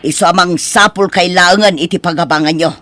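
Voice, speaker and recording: A woman's voice: on the low side, steady tone, a little fast, sharp tone. Woman acting stern scolding older mother-in-law, Ilocano accent. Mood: reproachful. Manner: nagging, reproachful. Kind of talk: audio drama acting.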